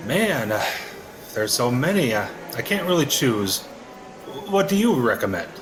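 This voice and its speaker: Actor as anxious, halting, slow-spoken everyman. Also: medium pitch, very animated, music in the background. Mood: hesitant. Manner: halting, uncertain. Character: anxious, indecisive married male customer, an everyman. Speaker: actor in an audio play. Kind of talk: audio drama acting. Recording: clearly noisy background.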